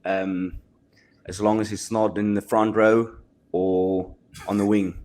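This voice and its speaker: A man's voice: lowish voice, level tone, slow, a little mellow. Modest young South African man, hesitant. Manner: modest, hesitant, many restarts. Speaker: rugby player guest. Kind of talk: casual podcast chat.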